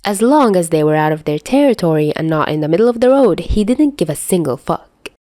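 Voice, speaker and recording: Female audio fiction narrator: lowish pitch, very animated delivery, dry close recording. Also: fairly quick, dry recording. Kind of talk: expressive scripted story narration.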